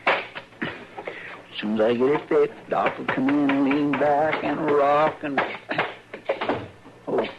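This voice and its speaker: A man's voice: a little high, fairly even intonation, slow, pauses often. A man playing a good-natured, bumbling marshal's helper in an old radio western, hesitant and deferential, with a rural Western American accent. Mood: grumbling. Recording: muffled, hissy old radio recording.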